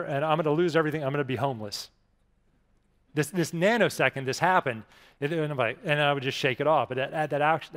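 A man's voice: a little high, a bit bright. A man in his forties with an American accent, giving a conversational keynote speech with self-deprecating stories and frequent restarts, on a headset mic in front of a live audience. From Pittsburgh, USA. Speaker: keynote speaker. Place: large conference stage with audience. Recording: long hall reverb from stage.

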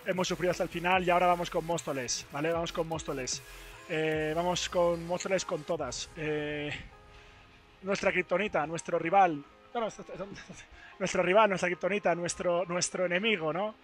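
A hoarse young man who has lost most of his voice, chatting excitedly to his stream on a headset mic in a studio booth. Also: high-pitched, moderate pace, soft background music. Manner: excited, repetitive. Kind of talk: casual stream chat.

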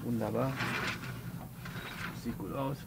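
A man's voice: medium pitch, takes it slowly, vocal fry, heavy background noise. A man casually explaining the parts he is working on while doing repairs inside a sailboat. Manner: chatty, explains while working with his hands. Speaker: boat owner doing refit work. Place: cramped cabin of a sailboat.

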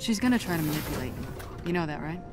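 An actress playing a seasoned spy in a video game, cool, blunt and commanding, with terse delivery. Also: female voice, deep voice, expressive, quick pace, over music. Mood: firm, blunt. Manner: terse, no-nonsense. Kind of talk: video game cutscene acting.

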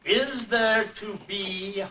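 A man's voice: high voice, very slow. A man voicing a pompous, courtly royal elephant puppet in a grandiose, declamatory stage manner, on an amateur camcorder recording. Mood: fussy, courtly. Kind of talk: theatrical puppet show acting. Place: indoor convention stage, distant camera. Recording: roomy, distant amateur camcorder, faint noise.

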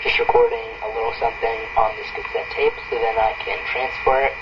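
Clear tape noise surrounds a man's voice on a cassette recording. He speaks casually at a medium pitch, as a host leaving a short test message. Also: wildly swooping pitch, fairly quick, lo-fi sound. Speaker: tutorial host. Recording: noisy cassette tape playback.

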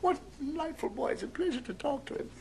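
An actor with a British accent playing an effusive, stammering elderly Victorian gentleman in an old radio drama, with a creaky, high voice. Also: animated, quick pace, exaggerated voice, low-quality recording. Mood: giddy delight. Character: giddy, overjoyed elderly Victorian English gentleman miser reformed.

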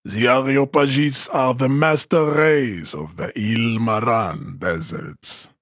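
An actor playing a haughty, hulking supremacist strongman in a video game, speaking in a solemn, pompous, declamatory manner with a husky voice. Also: medium pitch, slow, a bit bright, dry recording, studio quality. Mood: pompous, disdainful. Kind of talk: scripted game character monologue.

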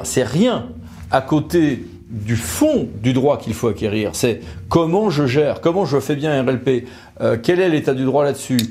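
A man's voice: mid-range voice, darkish tone. A man in his forties with an accent from France, explaining legal news to camera in an informal, conversational way. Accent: French from France. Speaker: presenter and interviewer. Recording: clearly audible background noise.

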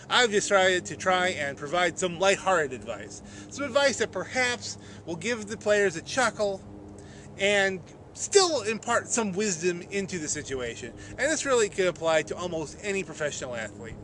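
High-pitched man vlogging about sports outdoors. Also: bright, forceful tone. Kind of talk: sports commentary vlog, conversational. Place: open grassy field outdoors. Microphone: camera at a short distance. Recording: outdoor camera audio with noise.

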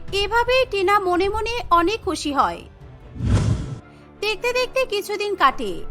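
A woman's voice: extremely high pitch, bright, forceful tone. A woman narrating a scripted cartoon story as its storyteller. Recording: music behind the voice.

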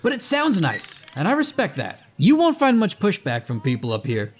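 An actor playing a cocky, smooth-talking inventor who teases and boasts in an audio drama. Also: male voice, high voice, wildly swooping pitch, moderate pace, slight background noise. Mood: teasing. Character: cocky, glib inventor and self-styled man of science.

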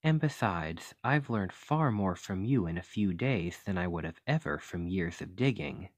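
ASMR roleplay: courteous, fascinated scholar-archaeologist, played by a man, fairly low pitch, articulate and thoughtful, dry recording. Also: lively intonation, moderate pace, dry recording. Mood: kindly, earnest. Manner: formal, articulate, musing delivery.

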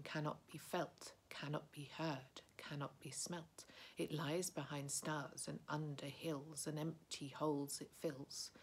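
A woman voicing a sneaky, hungry male creature who hisses his words in a slow, creeping whisper. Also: low voice. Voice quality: hissing whisper.

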